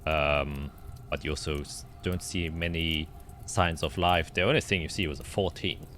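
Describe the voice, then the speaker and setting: Man, deep voice, game master, improvised scene descriptions, hesitant phrasing, noisy background. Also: steady tone, normal speed, fairly bright tone. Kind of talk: improvised narration of a tabletop fantasy game.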